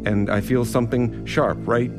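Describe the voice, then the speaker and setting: An actor in a radio drama playing a courteous dead man who recounts his killing as a measured monologue. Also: mid-range voice, fairly even intonation, close to an everyday voice. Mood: rueful, tense. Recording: loud guitar music under the voice.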